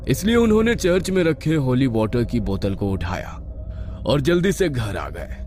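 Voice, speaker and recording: A man's voice: on the low side, dark tone, slight background noise. A man reading a scripted horror story as a dramatic narrator. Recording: music behind the voice.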